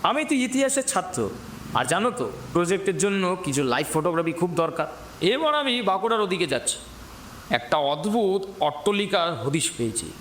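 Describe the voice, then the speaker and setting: A man's voice: sits high, sing-song, normal speed, a bit bright, a little hiss or hum. A man playing an eager young student photographer, casual and coaxing, in an audio story. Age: young adult. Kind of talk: audio horror story acting. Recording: roomy echo over music.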